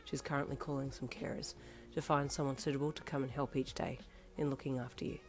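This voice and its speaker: A woman voicing a cocky, teasing young man who can turn gentle and reassuring. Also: rumbling low, steady tone, fairly quick, faint music behind, pitched below the performer's own voice. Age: young adult.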